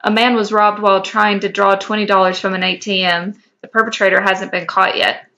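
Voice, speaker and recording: A woman reading the part of a skeptical, guarded man in fan fiction, doubtful and measured, on a slightly dull lo-fi mic. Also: mid-range voice, level tone, gravelly, sharp tone.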